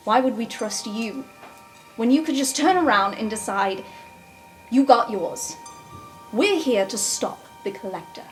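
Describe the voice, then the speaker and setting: A woman's voice: on the high side, a little slow, long pauses, soft background music, close to an everyday voice, rough recording. A woman voicing an earnest, anxious young student who pleads with a rival in a tabletop roleplay podcast.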